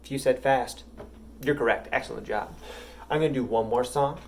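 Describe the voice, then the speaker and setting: A man's voice: medium pitch, normal speed, creaky, a little hiss or hum. A man teaching a music lesson to young children, patient and encouraging.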